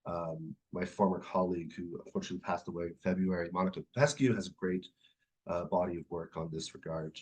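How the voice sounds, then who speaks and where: A man's voice: deep voice, fairly even intonation, fast talker, darkish tone, breathy edge, poor audio. A man giving an academic presentation in a discursive, hesitant, thinking-aloud way. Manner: hesitant, discursive, hedging phrasing. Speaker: academic speaker, literary scholar. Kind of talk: conference presentation, semi-improvised talk.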